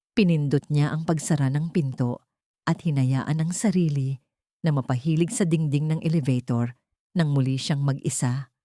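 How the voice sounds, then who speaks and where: A woman's voice: deep voice, warm tone, no echo, clean studio sound. A woman narrating a scripted audio story in a dramatic style.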